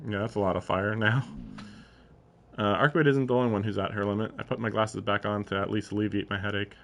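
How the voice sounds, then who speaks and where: A man's voice: lowish voice, fairly even intonation, fast, creaky. A man live-streaming, reading a story aloud and adding informal, questioning commentary of his own. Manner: informal, questioning asides between read passages. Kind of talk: reading narration aloud with casual, puzzled running commentary.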